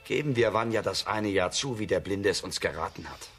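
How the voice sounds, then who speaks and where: Stern farmer's son, sharp husky low voice, blunt, impatient, children's radio drama. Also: male voice, animated, fairly quick, exaggerated voice. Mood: gruff, resentful. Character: gruff, hard, impatient grown peasant son. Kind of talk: German children's radio play acting.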